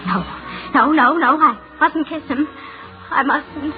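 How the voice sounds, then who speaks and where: A woman's voice: high voice, slow. An actress in a 1940s radio drama playing a lonely, self-doubting woman's agitated inner voice. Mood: anxious, guilty. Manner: agitated self-questioning, arguing with herself. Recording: tinny band-limited vintage radio recording, loud music.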